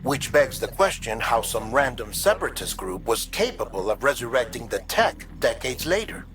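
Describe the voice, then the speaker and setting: An actor playing a thoughtful, articulate researcher in a video game, explaining in a measured, formal and grave way. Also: male voice, medium pitch, sing-song, a bit bright. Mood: grave, explanatory. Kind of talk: video game cutscene monologue.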